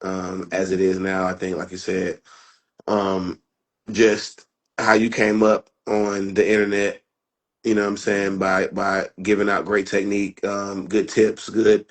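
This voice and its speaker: A man with a fairly low voice speaks as a guest in a relaxed interview. He talks loosely and casually and keeps checking in with "you know what I'm saying.". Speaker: MMA fighter and guest.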